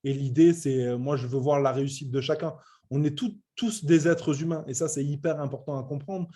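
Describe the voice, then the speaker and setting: A man's voice: on the high side, fairly quick, dark tone. A man in his late thirties giving an informal online business presentation, emphatic but often hesitating and repeating his words. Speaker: web entrepreneur presenting a training programme.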